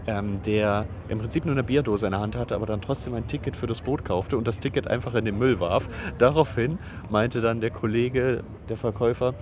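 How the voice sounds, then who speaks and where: A man's voice: mid-range voice, fairly quick, hardly any pauses. A man hosting a casual travel podcast, talking in a joking, teasing, sarcastic way. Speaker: podcast host recording travel voice messages. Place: outdoors in a busy city. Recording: noisy outdoor street with traffic.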